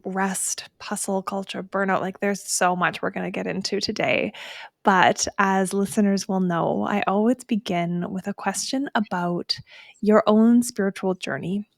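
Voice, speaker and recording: Woman hosting a podcast, bit of vocal fry, dry sound, upbeat and affirming. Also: medium pitch, no echo. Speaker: podcast host. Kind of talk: podcast interview.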